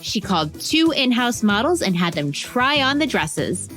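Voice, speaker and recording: Woman narrating with lively, expressive pitch. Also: measured pace, soft background music. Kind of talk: scripted storytelling narration of a biography for children.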